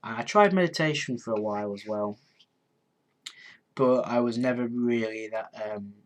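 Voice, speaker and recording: A congested young man talks loosely on his live stream. He sniffles through a blocked nose, pitched mid-range for a man. He rambles and trips over restarts, filling the gaps with ums. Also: moderate pace. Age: 20s. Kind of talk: casual live stream chat answering comments.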